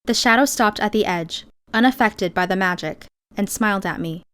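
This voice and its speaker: Mid-pitched woman narrating fiction. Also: moderate pace, a bit bright, smooth. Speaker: audiobook narrator. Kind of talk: first-person scripted fiction narration.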